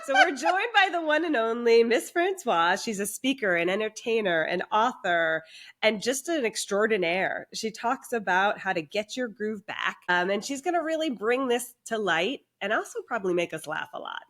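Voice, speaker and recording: A woman's voice: a little high, animated, normal speed, a bit bright. A woman co-hosting a podcast interview, speaking in an upbeat, enthusiastic way. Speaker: podcast co-host.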